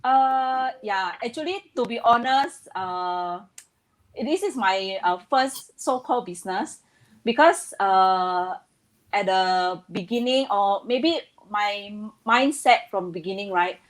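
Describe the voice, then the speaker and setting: A woman's voice: mid-range voice, animated, slow pace, low-quality recording. Hesitant Indonesian-born woman guest. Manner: hesitant, many fillers, earnest. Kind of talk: casual interview talk.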